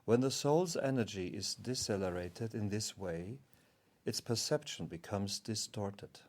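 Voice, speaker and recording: Solemn guardian spirit played by a man, deeper register, slow pacing, formal and calm spiritual teaching. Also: close to an everyday voice. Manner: formal, measured, explanatory.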